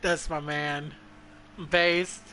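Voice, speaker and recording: A man doing live reaction commentary on a video game, excitable, slangy and profane, given to dramatic pleading. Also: fairly high pitch, drawn-out words, background noise. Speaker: gaming streamer.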